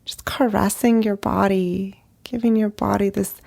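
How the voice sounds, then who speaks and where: Woman doing guided meditation, super slow and gentle, lots of pauses, creaky fry in her voice. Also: medium pitch, fairly even intonation. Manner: slow, gentle, pausing between short phrases. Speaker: therapist leading a guided meditation.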